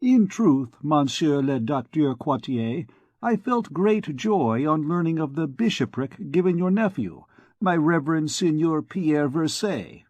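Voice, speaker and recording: A man's voice: fairly high pitch, sing-song, measured pace, a little mellow, no echo, voice pushed up. A man voicing a guarded, learned archdeacon who asks courtly, probing questions in an audiobook. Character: guarded, learned male archdeacon, middle-aged. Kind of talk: audiobook dialogue.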